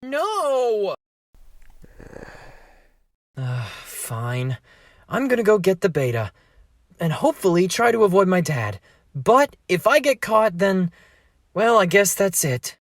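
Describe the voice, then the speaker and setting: A man's voice: sits high, very animated, takes it slowly, pauses often, no room sound, clean studio sound, heavily acted. A man voicing an anxious, whiny thirteen-year-old boy in an amateur fandub, exasperated and hesitant.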